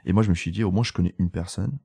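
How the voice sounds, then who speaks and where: Husky fast-talking young man. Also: deep voice, warm tone. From Italy. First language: Italian. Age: 20s. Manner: candid rambling storytelling, many fillers and restarts. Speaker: guest photographer. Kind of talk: casual podcast interview.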